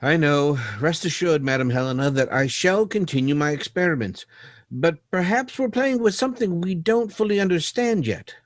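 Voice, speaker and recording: A man playing an anguished scientist in a 1930s-style radio drama, speaking in a grave, halting, theatrical way. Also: a little high, dry recording, studio quality, lightly acted. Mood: grave, foreboding. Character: anguished male scientist confessing to tampering with robots.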